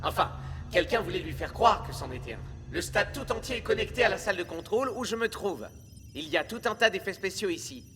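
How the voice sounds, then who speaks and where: An easygoing young cartoon footballer, high in pitch with a sharp, bright tone. He explains things in a casual way. Faint music is behind him. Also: lively intonation, brisk, in a large hall. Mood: amused, explaining. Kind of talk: animated cartoon dubbing.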